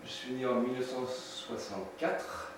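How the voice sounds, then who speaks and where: A man's voice: mid-range voice, fairly even intonation, normal speed. A man in his fifties from France, presenting his photography at a seminar, with many fillers and restarts. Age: 50s. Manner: hesitant, many fillers and restarts, light chuckles. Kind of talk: seminar talk with slides. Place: seminar room with audience. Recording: echoey room, distant mic, noisy.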